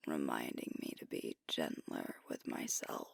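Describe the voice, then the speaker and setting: A woman playing a sweet, self-critical werewolf pack leader in an intimate ASMR roleplay, breathy and often whispering, speaking hesitantly and tenderly. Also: extremely low pitch, expressive, no room sound, clean studio sound, full character voice. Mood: vulnerable, grateful. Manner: hesitant, tender, earnest, with long pauses.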